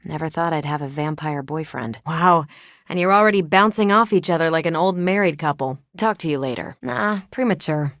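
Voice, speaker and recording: Low-voiced, breezy superhero woman. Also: fairly quick, fairly bright tone, no room sound. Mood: wry, friendly. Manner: breezy, dry, teasing, self-assured. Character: confident, wry, friendly half-alien superhero woman soldier. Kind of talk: video game voice acting, conversational.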